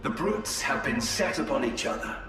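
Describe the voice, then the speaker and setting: A calm cunning elf adviser. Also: male voice, mid-range voice. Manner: measured, deferential reports. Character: calm scheming Eldar adviser, male adult. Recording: reverberant, distant, music behind.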